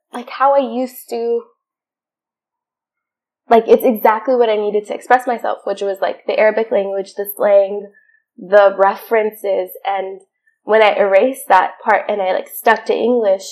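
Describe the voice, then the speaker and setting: A woman's voice: medium pitch, a little mellow. A young woman from Syria, speaking as a podcast guest in an earnest, reflective way with many filler words. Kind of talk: casual interview chat.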